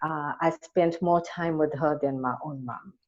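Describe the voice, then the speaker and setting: A woman's voice: deep voice, steady tone, unhurried, a little mellow. A South African woman answering interview questions conversationally, with an earnest, enthusiastic manner. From Durban, South Africa. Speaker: interview guest, entrepreneur. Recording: dull, lo-fi audio.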